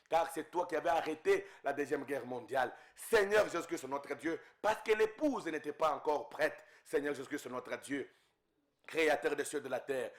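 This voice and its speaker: Fervent Congolese man praying aloud. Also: a little high. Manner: fervent, repeating invocations, voice rising and falling in waves. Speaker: preacher. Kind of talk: intense spoken prayer.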